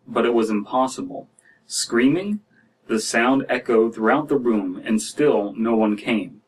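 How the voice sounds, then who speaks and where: A man in his thirties reading and reacting to stories as a podcast host, in a halting, wry, casual way. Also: medium pitch, slightly rough, far from the mic, rough recording. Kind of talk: casual commentary and story reading. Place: small dim room indoors.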